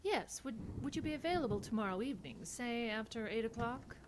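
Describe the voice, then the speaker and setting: Casting: actress for a courteous yet insistent female vampire in horror audio drama, lively melodic delivery, light background noise. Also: a little high, brisk, close to an everyday voice. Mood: eager. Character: polite, eerie female vampire wife, coolly insistent.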